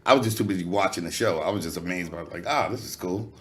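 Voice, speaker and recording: A man with a very low voice that still comes out nasal, talking. He speaks loosely and candidly, full of "you know" and casual swearing. It sounds like a relaxed interview chat. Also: quick pace. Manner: loose, candid, swearing freely, many fillers. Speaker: rapper, guest.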